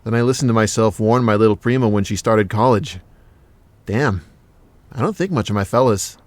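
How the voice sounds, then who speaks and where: An actor playing a cheeky, big-hearted casual lover in an audio drama, with blunt, slangy, joking talk. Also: male voice, fairly low pitch, normal speed, no room sound, natural voice.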